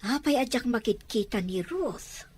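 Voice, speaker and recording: A woman's voice: a little high, animated, normal speed, darkish tone, husky. A woman with a Filipino accent playing a concerned, neighborly middle-aged woman in an audio drama.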